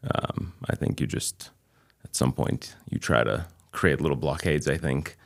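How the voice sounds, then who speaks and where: Deep raspy-fry guy, albanian native, new england accent, lots of ums, reflective guest chat. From Kosovo. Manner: measured, reflective, pausing with frequent ums. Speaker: guest chiropractor. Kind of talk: casual interview chat.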